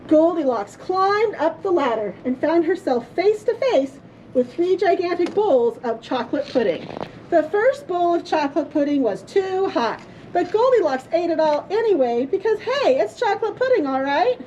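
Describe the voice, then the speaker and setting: A woman storyteller reading a children's story aloud in a playful, theatrical way with drawn-out emphasis. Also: very high-pitched, dark tone, highs cut off, a little hiss or hum. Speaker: librarian storyteller. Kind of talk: expressive children's storybook reading for a puppet show.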